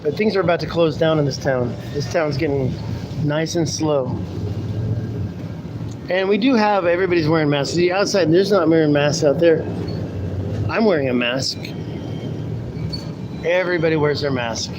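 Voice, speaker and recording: A man's voice: a little high, moderate pace, long pauses. Rambling man live-streaming from a bus. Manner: rambling, talks to viewers while riding a bus. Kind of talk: casual live-stream chat. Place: city bus. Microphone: handheld camera mic, speaking through a pulled-down mask. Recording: bus noise behind. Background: bus engine and traffic.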